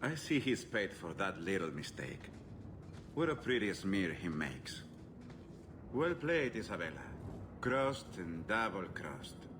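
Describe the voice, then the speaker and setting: An actor playing a theatrical slaver crime boss in a video game, courtly and mocking in manner. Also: male voice, low voice, very animated, takes it slowly, noisy background, exaggerated voice. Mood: mocking, smug.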